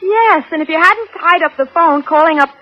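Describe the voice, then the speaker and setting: A woman's voice: very high voice, brisk. An actress playing an earnest, plucky sixteen-year-old daughter who protests to her father in a 1960s radio play. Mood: earnest, explaining. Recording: muffled, faintly noisy old radio recording.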